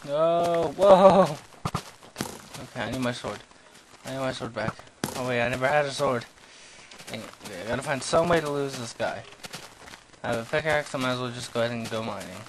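A young man giving goofy, self-mocking commentary while playing a game, yelling at monsters and talking to himself. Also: medium pitch, brisk. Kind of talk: let's play commentary. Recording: noisy home recording.

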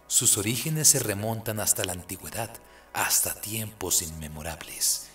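A man's voice: on the low side, lively intonation, normal speed, bright, forceful tone. A man narrating scripture for a radio drama in a solemn, scripted style. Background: faint music.

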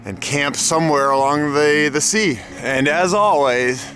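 A man's voice: on the high side, a little slow. Man in his forties, chatty and upbeat outdoor bike vlogger, action camera mic, faint music underneath. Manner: chatty and upbeat, talks to animals, playful sing-song bits. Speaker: bikepacking vlogger. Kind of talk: casual vlog narration to camera. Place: outdoors on a mountain dirt road. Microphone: action camera on the bike and held at arm's length.

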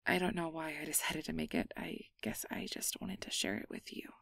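A woman's voice: sits low, very animated, brisk, gravelly, a bit bright, no echo, clean studio sound, heavily acted. A woman playing an awkward, guarded but secretly tender young changeling in an ASMR roleplay, hesitant and stammering as she downplays things. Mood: bashful.

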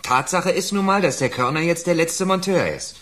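A man's voice: a little high, moderate pace, a bit bright, lightly acted. A man playing a sarcastic, embittered young prisoner in a German radio crime drama. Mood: bitter, suspicious.

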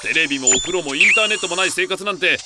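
A man playing an excitable family father in a comedy cartoon, blustering out his retorts. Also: high-pitched, very fast, loud music behind the voice, close to an everyday voice. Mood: exasperated. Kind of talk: animated comedy acting.

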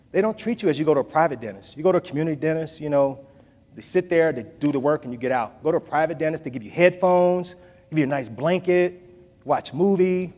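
A man's voice: medium pitch, darkish tone. A man in his forties giving a conversational keynote speech from a podium in a large hall, repeating phrases for emphasis. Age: 40s. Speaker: keynote speaker. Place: large hall with bookshelves, audience seated. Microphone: podium mic, filmed from the back of the room. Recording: long hall reverb.

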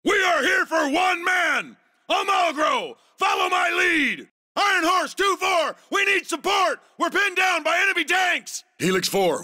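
A man voicing a ruthless, vengeful soldier villain in a video game, with a gruff, gravelly delivery that is menacing and commanding. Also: very high-pitched, sharp tone, exaggerated voice. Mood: urgent shouting.

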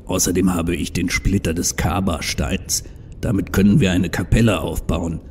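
Casting: male actor as proud, stubborn troll visionary, deep and mellow, earnest and bitter, for audio drama. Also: little pitch movement, normal speed, a little hiss or hum. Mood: determined. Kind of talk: fan audio drama acting.

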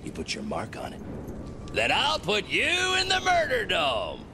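An actor playing a flamboyant, manic race boss in a video game, theatrical and wheedling, swinging from sly muttering to shrieks. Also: male voice, high voice, wildly swooping pitch, unhurried, creaky, background noise, full character voice. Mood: mocking. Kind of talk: video game character acting. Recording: music playing behind the voice.

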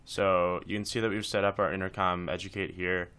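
A man's voice: deep voice, flat delivery, fairly quick, bright, forceful tone, clean tone. A man presenting a casual screencast tutorial, talking informally through each step as he instructs.

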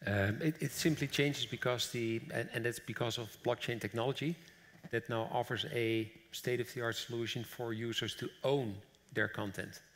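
A man's voice: mid-range voice, steady tone, moderate pace. A middle-aged man speaking as a founder on a conference panel, on stage in a large hall. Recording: long reverb of a large hall.

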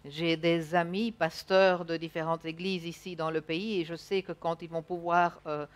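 Woman interpreting a sermon, slightly halting. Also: fairly low pitch, level tone. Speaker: church interpreter. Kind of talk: live consecutive interpretation of a sermon. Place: church stage, indoors.

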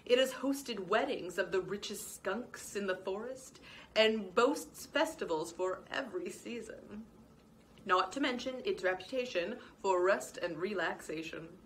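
A woman's voice: mid-range voice, unhurried, a little hiss or hum, close to an everyday voice, low-quality recording. A woman voicing a fussy, overly polite male lizard who works as a hotel clerk, speaking formally and eagerly.

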